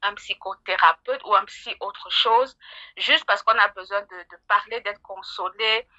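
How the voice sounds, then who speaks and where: A middle-aged woman speaks as an expert guest, explaining in a conversational way. Her voice is bright and forceful, and the recording is dry and a little dull. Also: mid-range voice, unhurried, no room sound. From Cameroon. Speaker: psychologist guest.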